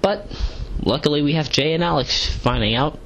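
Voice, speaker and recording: A man rambling through an audio log in a halting way, with stiff, formal wording and repeated phrases. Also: on the high side, fairly bright tone. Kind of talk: rambling audio log reading out comments with asides. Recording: clearly noisy home recording.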